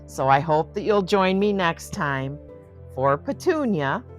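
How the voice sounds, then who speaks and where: An older woman with a low voice hosts a storybook read-aloud. She takes her time and speaks directly to young listeners, adding effusive, chatty asides. Faint music plays behind her. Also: sing-song, slow. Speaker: host reading picture books aloud.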